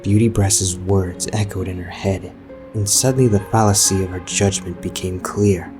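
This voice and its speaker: A man's voice: deep voice, steady tone, normal speed. A man narrating a scripted story as a storyteller. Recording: music behind the voice.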